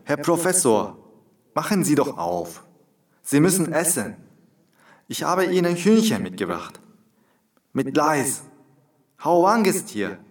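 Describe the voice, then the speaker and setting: An actor playing a gentle, loyal colleague in a radio play, politely coaxing and pleading. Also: male voice, fairly high pitch, sing-song, slow pace, long pauses, far from the mic. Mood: pleading, worried. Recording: off-mic, as if calling from outside.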